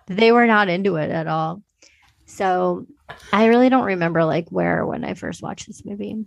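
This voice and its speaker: A woman's voice: fairly low pitch, very animated, moderate pace, creaky, no echo. A woman co-hosting a podcast, discussing films casually in an informal, hedging, conversational way. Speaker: podcast co-host.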